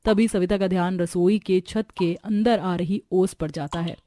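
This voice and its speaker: A woman's voice: fairly low pitch, steady tone, unhurried, dark tone. A woman narrating a scripted cartoon story.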